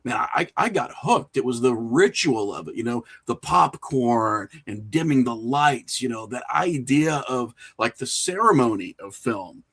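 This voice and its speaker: Middle-aged man, medium pitch, reflective, informal, anecdotal, conversational interview guest. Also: animated, a little slow, few pauses, a bit bright, breathy edge. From small rural town. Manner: informal, anecdotal, chuckles through remarks. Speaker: podcast guest, horror filmmaker and writer.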